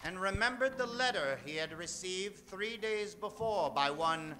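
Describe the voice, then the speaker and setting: High, very bright theatrical radio announcer man. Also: animated, unhurried. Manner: hammy, tongue-in-cheek showman. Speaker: radio show host and narrator. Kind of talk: old-time radio announcing, theatrical narration. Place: stage before a studio audience.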